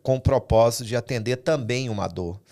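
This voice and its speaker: A man's voice: medium pitch, a little fast, mellow. A man hosting a podcast, talking casually as he leads an interview. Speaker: podcast host.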